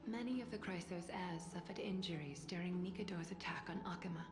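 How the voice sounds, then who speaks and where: A woman's voice: lowish voice, a little fast, close to an everyday voice. A woman playing a composed, gracious noblewoman leader in a video game, with formal, courtly phrasing. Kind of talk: scripted video game dialogue. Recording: echoey, with music behind. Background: music.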